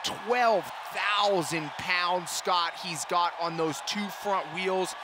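A man working as a color commentator at a live motorsport event, breaking down replays and reacting excitedly. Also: sits high, takes it slowly, noisy background. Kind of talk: arena sports commentary. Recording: commentary over loud arena music.

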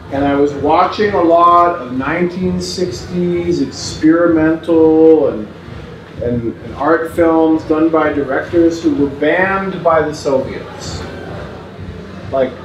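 A man in his forties reads interview answers aloud in a measured, unhurried way, stopping to restart phrases, in a small indoor gallery room. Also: fairly high pitch, noisy background. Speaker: performer reading interview notes. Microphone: far camera mic. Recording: roomy distant sound with faint ambience.

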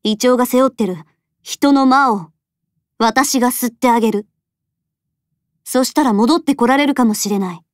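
A woman's voice: a little high, normal speed, pauses often, no echo, studio quality, lightly acted. An actress playing a resolute, self-sacrificing young woman in a Japanese voice drama, speaking earnestly and firmly. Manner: earnest, firm.

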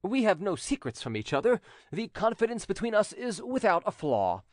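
A narrator as a boastful, lively gallant commander. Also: mid-range voice, moderate pace, no echo, studio quality, voice pushed up, close to an everyday voice. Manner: boastful, flamboyant. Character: boastful, breezy gallant commander, an older uncle.

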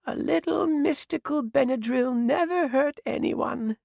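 Man as a high, murmuring old witch. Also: measured pace, vocal fry, dry recording, clean studio sound, full character voice. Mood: wry. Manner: murmuring, soft. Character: soothing old witch, calm and sly.

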